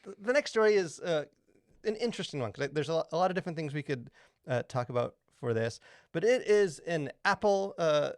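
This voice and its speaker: A man hosting a casual podcast discussion, talking in a halting, rambling way full of filler words. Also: a little high, expressive, no echo, clean studio sound. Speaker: podcast host.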